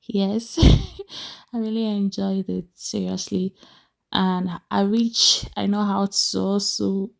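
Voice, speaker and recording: A young woman with a Nigerian accent chats to her camera at home in a soft, slow way. Her voice has a gravelly edge, and she laughs often between sentences. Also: mid-range voice. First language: Igbo. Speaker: vlogger. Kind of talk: casual vlog narration.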